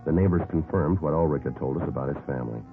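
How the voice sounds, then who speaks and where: An actor playing a terse police detective in a 1950s radio crime drama, deadpan and matter-of-fact. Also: male voice, extremely low pitch, fast, soft background music. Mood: flat, factual. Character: terse, businesslike male police detective sergeant narrating cases. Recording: tinny vintage 1950s radio recording.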